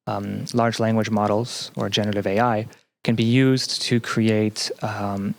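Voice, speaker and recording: A man talking casually as an interview guest, halting often as he searches for words. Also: fairly low pitch, level tone, gravelly. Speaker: podcast guest, graduate student.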